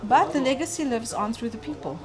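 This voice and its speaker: A middle-aged South African woman from Cape Town, a guest speaking earnestly and thoughtfully, with pauses and fillers. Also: high-pitched, expressive, music in the background. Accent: South African English. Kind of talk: conversational interview.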